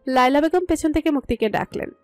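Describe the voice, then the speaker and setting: A woman's voice: high voice, lively intonation, fast talker, darkish tone, no room sound. A woman narrating a scripted romantic story. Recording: music under the voice. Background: music.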